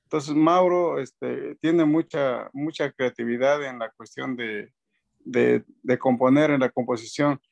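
Man, 50s, gravelly voice, webcam video call, rambling casual chat, fillers. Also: medium pitch, unhurried, dull audio. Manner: relaxed, rambling, frequent fillers and restarts. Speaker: band keyboardist, guest. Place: indoor room at home.

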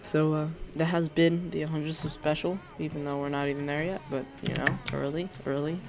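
A man's voice: a little high, flat delivery, moderate pace. A young man with a sore throat, casually showing off a Minecraft resource pack, rambling with lots of hesitations. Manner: rambling, hesitant, many ums and restarts. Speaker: Minecraft YouTuber. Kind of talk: casual gameplay showcase commentary.

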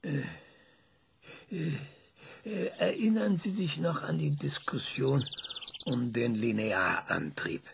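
A man's voice: on the high side, slow pace, gravelly, pauses often, slightly rough, exaggerated voice. An actor in a radio play, playing an eccentric, cranky older man being interviewed, who hesitates often and lectures. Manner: hesitant, many ums, lecturing.

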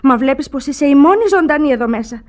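An actress playing a melancholy, worldly young woman in measured, wistful Greek radio theatre style. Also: high voice, lively intonation, a little mellow.

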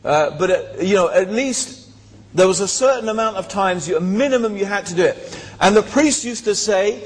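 A man tells his own story to a seated crowd in a large hall, with fillers and rhetorical asides along the way. His voice sits somewhat high for a man. A handheld mic picks him up, and the big room adds a long reverb tail. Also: expressive, moderate pace, slight background noise. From born in Tanzania, raised in England. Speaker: speaker. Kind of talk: personal story talk to an audience. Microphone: handheld microphone.

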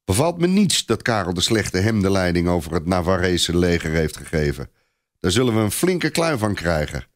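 A man voicing a gentle herald, mild and teasing yet thoughtful, in a storybook tale. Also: low-pitched, very animated, normal speed. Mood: serious.

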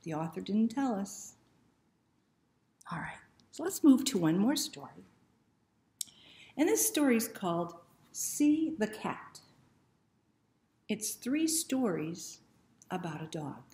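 A woman's voice: medium pitch, sing-song, takes it slowly, long pauses, mellow. A woman in her fifties hosting story time, reading aloud and chatting to young children with questions and simple instructions. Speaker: library story time host. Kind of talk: children's picture book read-aloud and chat. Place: small indoor room. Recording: roomy indoor echo.

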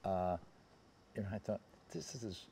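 An American man in his seventies reminiscing in anecdotes on a panel, haltingly, often trailing off and circling back. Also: low-pitched, fairly even intonation, vocal fry, dark tone, slightly rough. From United States. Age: 70s. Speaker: panel guest recalling personal memories. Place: seated on a theatre stage.

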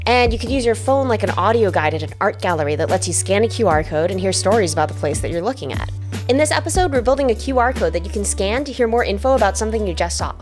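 A woman in her thirties hosts with scripted narration. Her pitch is average for a woman, and faint music plays behind her. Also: animated, fast. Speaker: video host.

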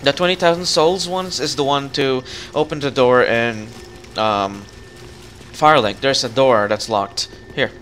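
A man hosting a casual co-op gaming session, calmly guiding his partner through the level. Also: mid-range voice, a little slow, slight background noise. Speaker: channel host playing co-op.

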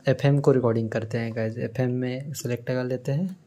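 A man's voice: medium pitch, fairly even intonation, brisk, background noise. A man giving a casual demonstration as a vlogger. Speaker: vlogger demonstrating old electronics.

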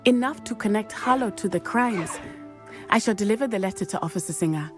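A determined young witch, voiced by a woman, speaks in careful, formal sentences with quiet confidence. Her voice sits in a middle register, sometimes sinking to a near whisper. Faint game music plays underneath. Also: medium pitch, expressive, close to an everyday voice. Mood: confident. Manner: measured, formal, confident speech, often hushed. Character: composed teenage schoolgirl witch, brave and determined. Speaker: game character.

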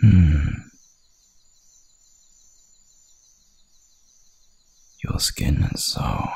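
A man voicing a hungry, dominant werewolf hunter in an ASMR roleplay, with a gravelly, breathy growl and a menacing, seductive drawl. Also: extremely low pitch, heavily acted.

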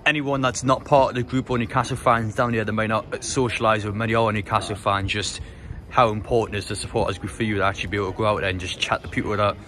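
A man's voice: on the low side, flat delivery, quick pace, fairly bright tone. A man interviewing football fans on the street, asking long rambling questions. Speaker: interviewer, YouTuber. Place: city street outdoors.

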